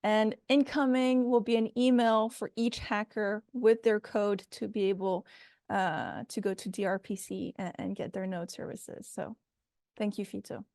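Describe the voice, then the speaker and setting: A woman's voice: mid-range voice, fairly even intonation, unhurried, darkish tone, no room sound, clean studio sound. A woman informally hosting an online meeting and handing over to speakers. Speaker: host of online event.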